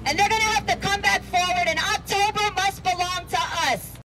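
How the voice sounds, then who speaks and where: Very high-pitched woman speaking at a street rally. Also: steady tone, normal speed, heavy background noise. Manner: impassioned, exhorting. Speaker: protest speaker. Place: outdoors in a city street. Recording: street protest footage with music.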